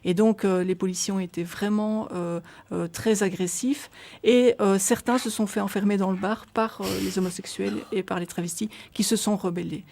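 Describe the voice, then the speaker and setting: A woman's voice: medium pitch, steady tone, moderate pace, vocal fry, dark tone. A middle-aged woman, guest on a radio interview, explaining at length with many hesitations and restarts. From Belgium. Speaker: association volunteer guest.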